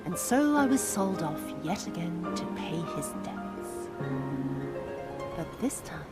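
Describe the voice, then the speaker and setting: A woman's voice: fairly low pitch, big pitch swings, over loud music. An actress voicing a cruel, sadistic female spy villain in a video game cutscene, theatrically bitter and savoring each dramatic word. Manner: slow, dramatic, savoring words, dropping to whispers.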